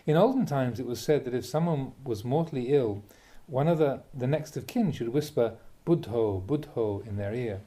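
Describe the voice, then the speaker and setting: A man, a Buddhist monk, reads a translated spiritual talk aloud in a measured, emphatic way, posing rhetorical questions. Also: mid-range voice, mellow. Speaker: Buddhist monk reading a teacher's talks aloud. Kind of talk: reading a translated Dharma talk aloud, with brief asides.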